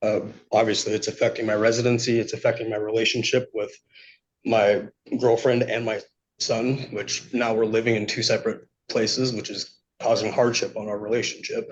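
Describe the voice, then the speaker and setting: A man giving unscripted testimony to a judge, speaking in a halting, polite way. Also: on the low side, little pitch movement, slight background noise. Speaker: petitioner testifying in court. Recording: dull, lo-fi courtroom audio.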